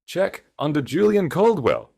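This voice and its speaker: A man narrating an audiobook, voicing a calm, dignified wealthy woman with measured, carefully modulated speech. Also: on the high side, creaky, voice pushed up, full character voice. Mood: cool resolve. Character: calm, dignified, quietly forceful wealthy wife.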